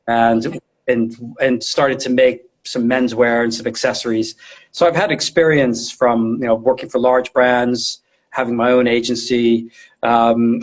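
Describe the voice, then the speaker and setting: A middle-aged man with a medium-pitched voice talks as a guest expert in an interview. He explains informally and conversationally. He often repeats a word or two while he works out the phrase. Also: rough recording. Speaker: guest, retail design and visual merchandising specialist.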